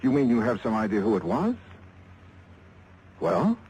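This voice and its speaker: Hardboiled insurance investigator, 1950s radio drama; wry, brisk, very animated delivery. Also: male voice, fairly low pitch, breathy edge, exaggerated voice, poor audio. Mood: probing. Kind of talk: 1950s radio drama acting with first-person narration. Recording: vintage 1950s radio recording.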